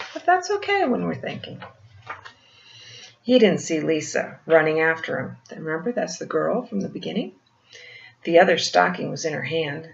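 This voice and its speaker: An older woman reads a picture book aloud like a grandmother, pausing for gentle explanations and questions to the child. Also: lowish voice, vocal fry. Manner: gentle teacherly asides, asking the child questions. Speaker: grandmother storyteller reading to children. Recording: muffled, roomy home recording with faint noise.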